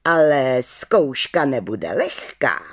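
A woman voicing a stern, imperious head witch in a children's storybook, speaking in a commanding, deliberate way. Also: very deep voice, sing-song, heavily acted.

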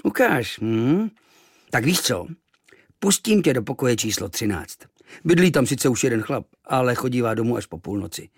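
A man voicing a gruff, greedy innkeeper who barks short, curt answers. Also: medium pitch, sing-song, no echo, studio quality. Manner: curt, barking.

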